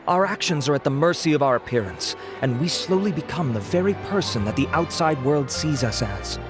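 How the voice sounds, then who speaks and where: A man voicing a suave, vain researcher in a game, pitching grandly with theatrical self-assurance. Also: expressive, fairly quick, music in the background, close to an everyday voice. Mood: impassioned. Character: suave, vain, handsome male researcher and salesman. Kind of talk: dramatic product pitch in a game.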